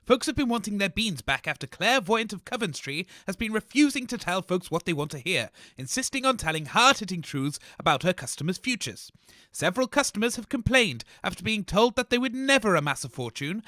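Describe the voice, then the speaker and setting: Casting: British male comedy news host acted by a man, fussy and flustered, sharp high tone, animated swings. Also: dry recording, clean studio sound, exaggerated voice. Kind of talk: mock news reading and comic radio acting.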